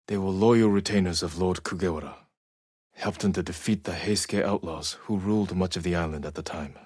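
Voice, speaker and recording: An honorable samurai warrior from a video game, solemn and reflective. His voice is deep and rough-edged, recorded close and very dry. He speaks with restraint, like a stoic man carrying grief. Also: a little mellow, no echo. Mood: solemn, earnest. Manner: restrained, solemn, measured delivery. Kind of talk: dramatic video game acting, reflective monologue.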